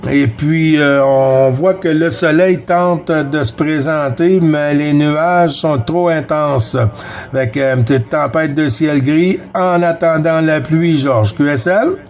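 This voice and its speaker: Higher-pitched Québécois man on CB radio. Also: slow, a little mellow. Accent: Québécois French. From Quebec, Canada. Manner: friendly, addresses others as friend. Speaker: amateur CB radio operator. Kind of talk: CB radio contact, casual exchange with callsigns.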